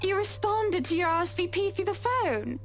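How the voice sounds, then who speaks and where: A woman's voice: extremely high pitch, expressive, moderate pace, slight background noise. A woman voicing a poised, sardonic young wife in a game, speaking in a prim, politely cutting way. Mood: sweet. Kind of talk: game voice acting.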